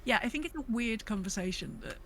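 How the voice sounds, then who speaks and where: A woman's voice: on the high side, animated, quick pace, a bit bright, a little hiss or hum. A woman co-hosting a podcast, chatting casually about gaming. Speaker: podcast co-host. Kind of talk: casual discussion of a video game.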